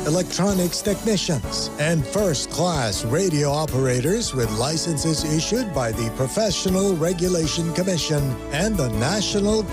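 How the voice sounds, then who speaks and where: A man reading a formal scripted station announcement in a measured, carefully enunciated way. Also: fairly high pitch. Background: background music.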